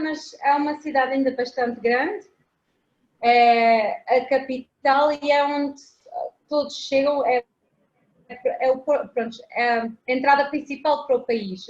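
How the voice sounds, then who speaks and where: A high-pitched woman with a European Portuguese accent talks about safari routes over a video call. She hesitates a lot and keeps filling gaps with "pronto". The sound is roomy and dull, like lo-fi call audio. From South Africa. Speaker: safari company manager. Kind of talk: interview answers and route presentation.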